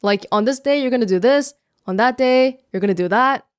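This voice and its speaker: A woman's voice: on the high side, a little fast, clear, resonant tone, no room sound. A young woman from Canada answering viewer questions in a casual vlog, chatty and self-mocking, speaking close to the microphone. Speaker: YouTuber. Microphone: close studio mic.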